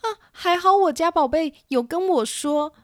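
A woman's voice: very high voice, expressive, normal speed, no echo, clean studio sound, voice pushed up. A woman voicing an anxious, motherly sheep in a children's audio story, exclaiming and confiding. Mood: alarmed.

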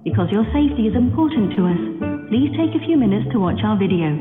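A woman's voice: mid-range voice, very animated, fast. A woman reading scripted airline safety narration, in a dull, echoey recording with music behind.

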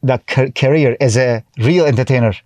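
A man's voice: mid-range voice, normal speed, a little mellow, no room sound. Turkish-accented male guest, middle-aged, chatty storyteller, close dry mic. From Turkey. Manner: chatty, restarts often, acts out his own past remarks. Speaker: guest, TV host and comedian. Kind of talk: casual interview chat.

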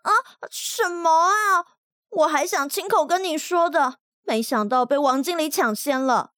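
A woman playing an excitable, bashful young woman in love in a radio drama, expressive and playfully pouty. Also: high voice, no room sound, studio quality. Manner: expressive, drawn-out musing, quick to fluster. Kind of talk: Mandarin radio drama acting.

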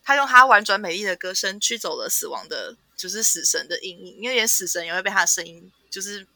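A woman with a Taiwanese Mandarin accent hosting a podcast, casually retelling a story with animated, playful asides. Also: medium pitch, fast, gravelly, no room sound. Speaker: podcast host. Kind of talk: casual storytelling and book chat.

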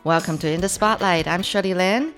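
A middle-aged woman with a low, grounded voice hosts an interview show. She talks in a loose, chatty way, nudging her guest along with friendly questions and little fillers. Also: brisk, fairly bright tone, faint music behind. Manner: chatty, encouraging, frequent fillers. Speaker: radio show host. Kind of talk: conversational interview.

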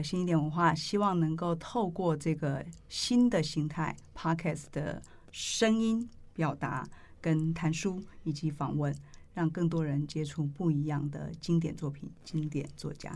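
A middle-aged woman with a Taiwanese Mandarin accent hosts a podcast interview, telling stories conversationally in deliberate, halting phrases. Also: low voice, a little mellow. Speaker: podcast host, publishing editor-in-chief. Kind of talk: conversational interview with reflective storytelling.

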